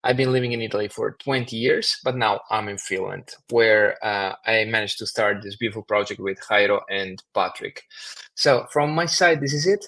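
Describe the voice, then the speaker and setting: Man, from Ukraine, co-host, online webinar, halting, a bit nervous, computer mic. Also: fairly low pitch, bright, forceful tone. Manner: admits nerves, halting with restarts. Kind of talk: live webinar, casual opening chat.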